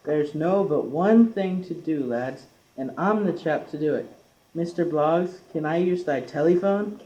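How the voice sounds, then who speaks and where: Teenage boy playing crafty workman, stubborn, plain-spoken, dark mellow tone, dull echoey amateur radio-play recording. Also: fairly high pitch, unhurried, natural voice. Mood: confident. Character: stubborn, cocksure Yorkshire working man, plain-spoken and crafty. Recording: muffled, echoey classroom-made amateur recording.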